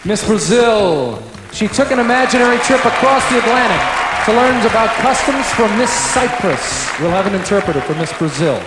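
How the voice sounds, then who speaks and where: Man, pageant host, upbeat, higher voice, roomy arena, loud music and crowd behind. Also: lively intonation, normal speed. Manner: upbeat, interviewing contestants. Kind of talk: live TV pageant hosting. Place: large indoor arena.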